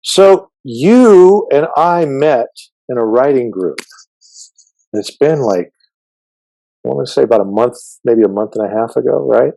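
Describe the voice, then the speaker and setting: A man's voice: medium pitch, expressive, measured pace, vocal fry, dark tone, no room sound, poor audio. A man whose first language is Farsi, hosting a casual interview in a chatty, joking way with many fillers. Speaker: podcast host.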